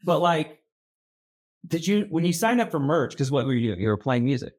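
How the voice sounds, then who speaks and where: A man's voice: on the high side, very animated, fairly quick, darkish tone. A man co-hosting a casual podcast, teasing the others and asking probing questions. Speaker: podcast co-host.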